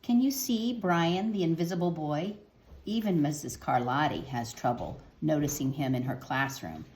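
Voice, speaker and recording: A woman reading to children in a storytelling style, with clearly phrased, expressive delivery. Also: deep voice, normal speed. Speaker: children's book reader. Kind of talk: read-aloud storytelling for children. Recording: roomy, echoing sound.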